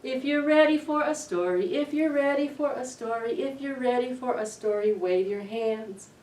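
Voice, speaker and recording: A middle-aged woman hosting a children's storytime, reading aloud in an expressive, deliberate way to young listeners. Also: sits high, slight background noise. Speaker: library storytime host. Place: indoor library children's room. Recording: roomy echo, distant camera microphone.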